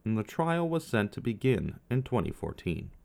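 A man giving scripted true crime narration, measured, with casual asides to the viewer. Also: on the low side, big pitch swings, gravelly. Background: faint guitar music.

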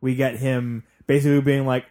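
Man, medium-pitched voice, podcast co-host, casual recap chat, informal conversational delivery. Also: level tone, fairly quick, mellow.

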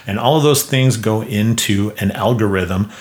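Monotone man hosting a clinic podcast. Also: lowish voice, moderate pace. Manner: self-described monotone delivery; casual, with frequent fillers and rhetorical questions. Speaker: podcast host and clinic owner. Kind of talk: solo podcast monologue.

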